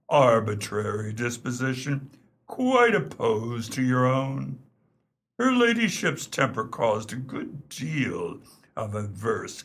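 A discreet, unflappable English valet in a radio drama. He is measured and formal, with a husky, creaky voice that moves up and down expressively. Every opinion comes with perfect deference. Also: medium pitch, fairly bright tone, heavily acted. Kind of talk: radio play acting.

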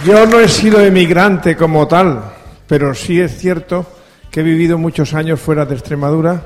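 An older man, a retired professor, explaining his story in a measured way at a public event. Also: a little high, a faint crowd. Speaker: retired professor, senior student. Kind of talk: spontaneous testimony at a public event. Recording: hall reverb with faint music.

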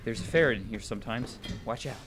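A young man playing a dazed, jittery stoned high-school sophomore who rambles in slang in a radio drama. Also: quick pace, over music, lightly acted. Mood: spaced-out.

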